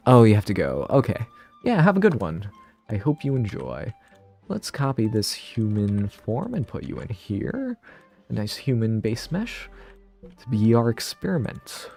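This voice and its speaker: A man's voice: on the low side, vocal fry, warm tone. A man casually thinking aloud on a live stream, rambling and interrupting himself, a bit sniffly from a cold.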